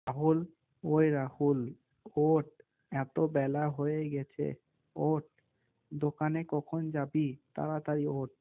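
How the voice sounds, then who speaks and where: A man's voice: on the high side, completely flat delivery, slow pace, long pauses, narrow, tinny audio. A man voicing an ageing, weary, stern but caring shop-owner father in a cartoon, in an admonishing way. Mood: nagging, stern. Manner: admonishing, instructing.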